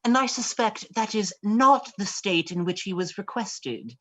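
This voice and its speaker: A woman playing a smug, scheming gentleman villain in a stage play reading, with florid, mocking formality. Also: medium pitch, lively intonation, unhurried. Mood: smug, sardonic. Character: smug, genteel male villain, scheming and sardonic.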